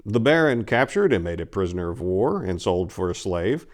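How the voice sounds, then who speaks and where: Animated, theatrical male channel host. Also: deep voice, moderate pace. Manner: theatrical, courtly, emphatic. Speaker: host and dramatic story reader. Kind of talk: scripted channel introduction, theatrical narration.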